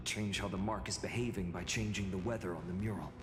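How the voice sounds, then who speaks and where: A man voicing a calm, reflective adventurer in a fantasy video game, speaking in a measured, solemn way. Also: deep voice, natural voice. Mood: thoughtful. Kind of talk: scripted video game voice acting. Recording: echoey reverberant hall, music behind.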